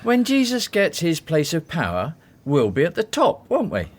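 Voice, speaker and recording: A man's voice: fairly high pitch, wildly swooping pitch, normal speed. A man acting in a radio drama as a cynical, worldly disciple who jokes sardonically and grumbles. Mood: scornful, grumbling.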